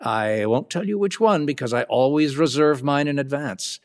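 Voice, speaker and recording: A man's voice: medium pitch, moderate pace. A man hosting and narrating a radio play, theatrical and playfully speaking straight to the listener. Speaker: host and narrator. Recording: music behind the voice.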